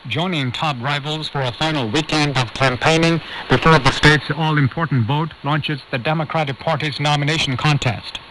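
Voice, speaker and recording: A man reading a scripted news bulletin as anchor, formal and measured, heard over shortwave radio. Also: mid-range voice, a bit bright. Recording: noisy shortwave radio reception.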